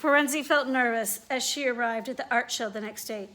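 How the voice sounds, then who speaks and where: Woman doing storytime and chatty demos for kids, high bright voice, asks the kids questions. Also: normal speed, a little hiss or hum. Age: adult. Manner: asks listeners questions, addresses children directly. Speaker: read-aloud host for children. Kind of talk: children's read-aloud and chatty demonstration.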